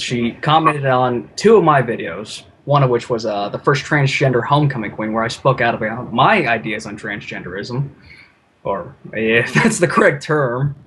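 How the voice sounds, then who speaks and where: A man's voice: mid-range voice, fairly bright tone, a little hiss or hum. A man talking casually as a podcast guest, in an informal, rambling way. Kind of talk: casual chat.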